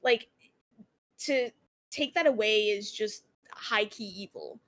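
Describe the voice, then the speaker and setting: A woman's voice: mid-range voice, takes it slowly, a bit bright. A woman streamer on a panel, talking passionately in casual chat, swearing freely and using many fillers.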